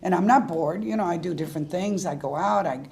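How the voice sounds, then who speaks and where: Sixties woman with a gravelly voice. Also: lowish voice, a little fast, darkish tone. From Cuba. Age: 60s. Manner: chatty, laughs easily. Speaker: senior interview participant. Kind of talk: casual conversation.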